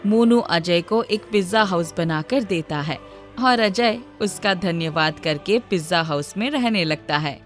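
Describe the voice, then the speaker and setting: A woman's voice: lowish voice, unhurried, soft background music. A woman reading a scripted children's moral story as its narrator.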